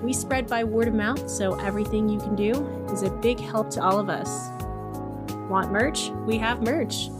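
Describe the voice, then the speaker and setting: A woman's voice: medium pitch, fairly even intonation, moderate pace. A woman reading scripted podcast credits and outro in a playful, wry manner. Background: music behind the voice.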